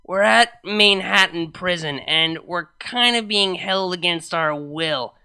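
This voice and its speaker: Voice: medium pitch, takes it slowly, pushed and bright, smooth, heavily acted. A performer voicing a brash, drunken female party pony who talks in slangy, slurred exclamations. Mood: sheepish, annoyed.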